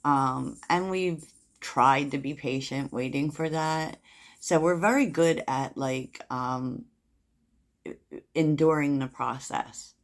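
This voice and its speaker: A woman with a soft voice giving a casual tarot reading, chatty and rambling with many fillers, often laughing at her own jokes. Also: low-pitched, takes it slowly. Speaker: tarot reader.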